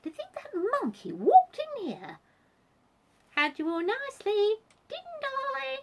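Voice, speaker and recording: Super squeaky cheeky monkey playing at duchess, very polite, way up high, storybook style. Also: female voice, wildly swooping pitch, takes it slowly, very noisy, full character voice. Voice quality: squeaky, sometimes breaking into gruff. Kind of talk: children's storybook character voice.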